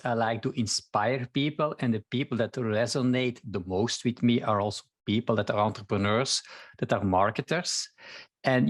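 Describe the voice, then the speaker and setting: A man from Belgium, a native Dutch speaker with a Belgian accent, chatting earnestly as a marketer guest on a podcast. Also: mid-range voice. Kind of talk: casual interview chat.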